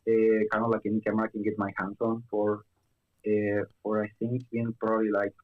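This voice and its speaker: A man's voice: lowish voice, monotone, fairly quick, lo-fi sound. A young man from Chile chatting casually as a guest, with lots of fillers and restarts. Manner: hesitant, many fillers and restarts. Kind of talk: casual chat.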